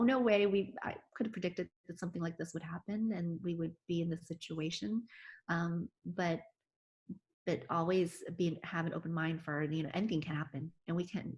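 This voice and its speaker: A woman's voice: lowish voice, dull audio. A woman physician giving an oral history interview, talking earnestly with many restarts and fillers. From United States.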